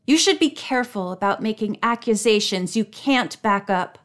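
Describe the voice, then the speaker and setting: A woman's voice: medium pitch, normal speed, lightly acted. A woman voicing a level-headed young girl detective in a storybook, speaking firmly and politely. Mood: calm, firm. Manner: firm, calm, polite.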